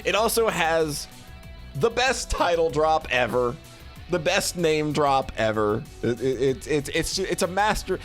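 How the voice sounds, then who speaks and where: A man streaming game reviews in a casual, rambling, expressive and effusive way, talking to a live chat and swearing casually. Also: a little high, measured pace, faint music behind.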